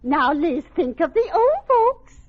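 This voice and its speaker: Gushing charity chairwoman, piercingly high voice, affected, coaxing, muffled vintage radio, audience. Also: sing-song, slow, noisy background, exaggerated voice. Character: gushing, pushy society matron running a charity bazaar. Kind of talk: 1950s radio sitcom acting before a live audience. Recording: muffled old radio recording with studio audience.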